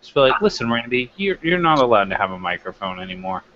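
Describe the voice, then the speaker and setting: A man's voice: medium pitch, very animated, fast, sharp tone. A man co-hosting a review show, chatting casually with blunt, critical opinions. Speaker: co-host of a wrestling review show. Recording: dull, lo-fi, noisy background.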